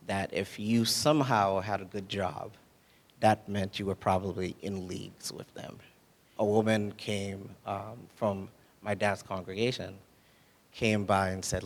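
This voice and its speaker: Man, 40s, from Haiti; low voice, easygoing conference-talk delivery. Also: creaky. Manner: conversational, frequent "right?" tag questions. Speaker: guest speaker.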